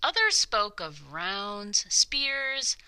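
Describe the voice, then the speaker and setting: A woman narrating a children's story from a script, with dramatic, deliberate phrasing. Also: medium pitch, big pitch swings, sharp tone, clean tone.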